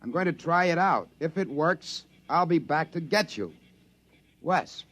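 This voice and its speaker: An actor playing a plain-spoken, rugged and fatalistic member of a stranded expedition, in mid-century radio drama style. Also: male voice, on the high side, lively intonation, measured pace, a bit bright. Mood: alarmed, urgent.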